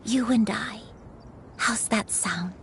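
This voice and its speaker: A woman voicing a gentle old grandmother who is a crane spirit in human form, speaking with tender, doting softness. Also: a little high, takes it slowly, a little hiss or hum. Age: older. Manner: tender, soft-spoken, doting. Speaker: dying guardian saying farewell. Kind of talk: game cutscene voice acting.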